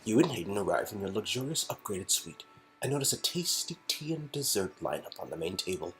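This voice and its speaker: A man's voice: fairly low pitch, sing-song, a little fast, fairly bright tone, faint music behind, rough recording. A man reading an interactive game aloud, mixing story narration with casual asides. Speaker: reader performing an interactive game.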